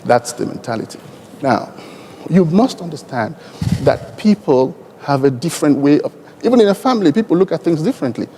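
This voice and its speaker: A man with a Nigerian accent and Igbo as his native language, speaking deliberately and emphatically in elaborate formal phrases on a conference panel. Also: fairly high pitch, big pitch swings, dark tone, a little hiss or hum. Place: large conference hall. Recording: long hall reverb from conference PA.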